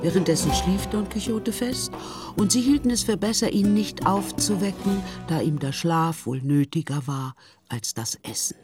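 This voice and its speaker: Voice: medium pitch, lively intonation, moderate pace, music in the background. A narrator reading scripted literary storytelling for a radio play.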